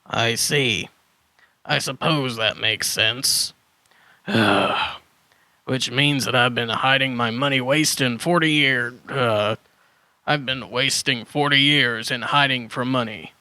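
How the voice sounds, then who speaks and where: A man's voice: medium pitch, takes it slowly, sharp tone, no echo. A man playing a flustered dinosaur hermit who muddles his words in a children's audio drama. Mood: resigned. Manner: flustered word-swapping, correcting himself.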